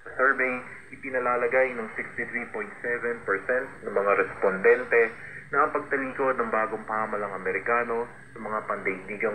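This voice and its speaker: Male Filipino radio newsreader, formal scripted delivery, crisp forceful edge, lightly noisy shortwave signal. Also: lowish voice. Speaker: radio news reader. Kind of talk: formal scripted news reading.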